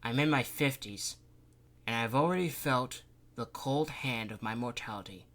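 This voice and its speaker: A man's voice: mid-range voice, normal speed, a bit bright, lightly acted. A man voicing a middle-aged councilman who feels lightheaded and weary.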